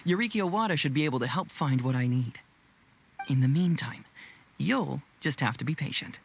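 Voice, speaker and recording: A woman playing a poised, playful investigator in a video game, speaking in a measured, theatrical, teasing way. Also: a bass voice, animated, vocal fry, slight background noise, full character voice. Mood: confiding, assured.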